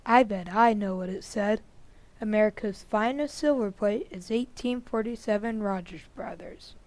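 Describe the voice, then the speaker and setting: A woman's voice: medium pitch, normal speed, darkish tone, no echo, close to an everyday voice. A woman playing a bossy, know-it-all older boy in an old-time radio family comedy. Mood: superior, matter-of-fact. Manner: superior, matter-of-fact.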